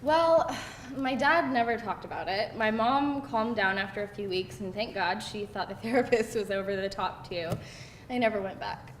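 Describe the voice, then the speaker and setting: A woman's voice: normal speed, natural voice. A woman acting a candid, sarcastic young woman at a live screenplay read, in a conversational style. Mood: candid, animated. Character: candid, wry, sarcastic young woman recounting her teens. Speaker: actor at a screenplay table read. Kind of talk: live read of conversational comedy-drama dialogue. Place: live table read in a room. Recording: roomy, distant mic, faint rumbling noise.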